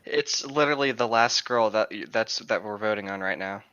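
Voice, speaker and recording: Man, forceful bright voice, slangy casual co-host banter, profane, crude jokes, slightly muffled lo-fi recording. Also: fairly low pitch, a little fast, clear, resonant tone. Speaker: podcast co-host. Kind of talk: casual chat.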